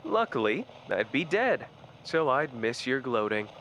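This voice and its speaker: A man's voice: medium pitch, sing-song, a little slow. A man playing a wry, theatrical young detective partner. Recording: noisy background hiss.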